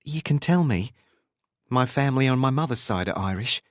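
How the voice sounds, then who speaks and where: A man voicing a curious, persistent woman who probes gently in a narrated novel. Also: mid-range voice, animated, normal speed, dry recording, clean studio sound, voice pushed up, close to an everyday voice. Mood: probing, tentative. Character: curious, persistent young woman, probing and smoking.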